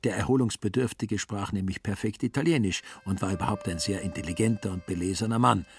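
A man's voice: on the low side, fast, husky. A man reading scripted literary narration for a radio drama.

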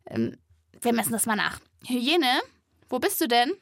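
A woman narrates a children's animal podcast in a lively, playful way, speaking straight to young listeners. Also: fairly high pitch, unhurried, creaky, dry recording, clean studio sound.

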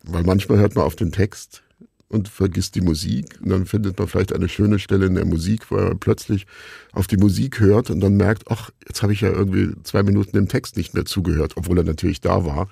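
Low gravelly man, reflective interview talk. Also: steady tone, a little fast, warm tone, no echo, studio quality. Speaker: interviewee, radio play maker.

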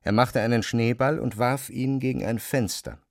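A man narrating a literary radio drama in German in a measured, deliberate way. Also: medium pitch, fairly even intonation, dry recording, studio quality.

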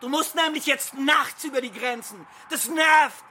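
Radio play role: angry, exasperated migrant, extremely high, sharp voice, heated monologue. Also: female voice, measured pace, a little hiss or hum. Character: angry, foul-mouthed migrant ranting about a friend.

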